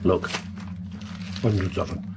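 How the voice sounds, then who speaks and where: A man acting a wry, easygoing police detective sidekick in a radio drama, with a raspy voice and a prompting manner. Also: deep voice, steady tone, slow pace, very noisy. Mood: brisk. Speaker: actor.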